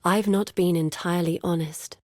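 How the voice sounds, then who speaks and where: An actress voicing an earnest, guarded woman explorer in a video game, speaking in a measured, grave and deliberate way. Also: lowish voice, steady tone, darkish tone, no room sound, studio quality, lightly acted. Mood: confessional, earnest. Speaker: video game character voice actor. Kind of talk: scripted game character dialogue.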